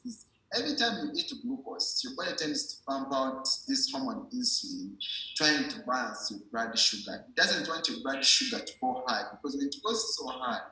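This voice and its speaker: A man's voice: medium pitch, bright, forceful tone. A man giving an informal medical explanation in a didactic way, repeating himself and checking that the listener understands. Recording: very echoey room.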